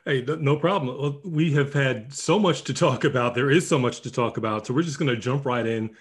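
A man co-hosts a sports podcast in a husky voice of middling pitch. He talks casually and keeps stumbling over his words and starting his sentences again. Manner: halting, many restarts. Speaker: podcast co-host.

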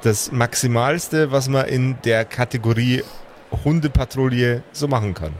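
A man's voice: medium pitch, measured pace. Game master, male, middle register, improvised tabletop narration, noisy backdrop. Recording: clear background noise.

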